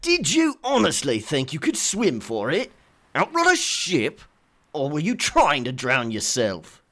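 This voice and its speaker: An actor playing an angry, gruff pirate quartermaster in an audio drama, scolding and grumbling bluntly. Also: male voice, on the high side, huge pitch swings, a little slow, pushed and bright, heavily acted. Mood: furious, berating. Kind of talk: full-cast audio drama acting.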